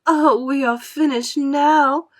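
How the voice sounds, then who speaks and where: A woman's voice: sits high, fairly even intonation, slow, pitched above the performer's own voice. A woman voicing an anxious, dramatic female spider with an urgent, pleading manner in a storybook read-aloud. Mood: despairing.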